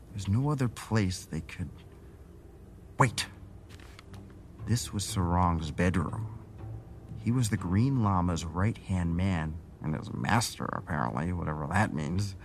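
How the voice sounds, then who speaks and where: An actor playing a scheming city councilman who feigns friendliness in a smooth, theatrical audio drama style. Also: deep voice, a little hiss or hum. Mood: frustrated scheming.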